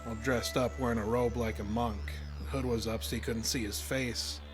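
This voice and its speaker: A man's voice: mid-range voice, steady tone, normal speed, natural voice. Male actor, haunted fishing guide, American, rustic folksy storyteller, plainspoken, uneasy, horror audio drama, music behind. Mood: haunted, shaken.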